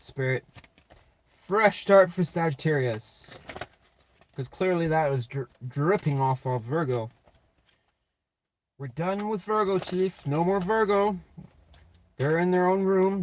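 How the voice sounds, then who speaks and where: A man giving a casual, off-the-cuff tarot reading in a blunt, sweary, slangy way, with a slightly raspy voice. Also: a little high, rough recording. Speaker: tarot reader and channel host.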